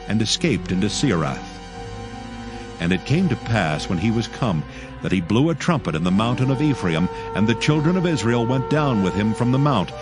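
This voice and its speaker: A man narrating dramatized scripture in a solemn, measured way. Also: on the low side. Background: music.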